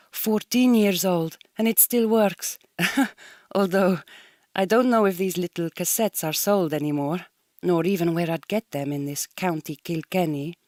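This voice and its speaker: A woman's voice: fairly low pitch, a little slow, darkish tone, no room sound, close to an everyday voice. Actress as a homesick Hungarian woman, close and dry. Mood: wondering, tender. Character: homesick, easily offended Hungarian migrant woman, wistful and proud. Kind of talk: recorded audio diary monologue in a radio drama.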